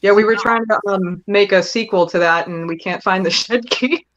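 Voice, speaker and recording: A woman's voice: mid-range voice, moderate pace, fairly bright tone, a little hiss or hum. A woman talking casually as a guest on a podcast. Her voice comes through somewhat dull and lo-fi. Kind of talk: casual chat.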